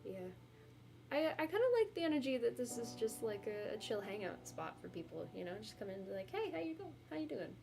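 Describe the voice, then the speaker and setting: A woman's voice: on the high side, big pitch swings, brisk, gravelly, noisy background. A young woman streamer talking casually with her viewers, rambling and self-deprecating, with lots of fillers. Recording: music playing behind.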